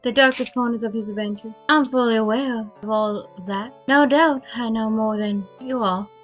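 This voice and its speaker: A woman voicing a warm, spirited and fretful farm wife in an amateur fan dub. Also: on the high side, normal speed, soft background music, close to an everyday voice. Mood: playful, knowing.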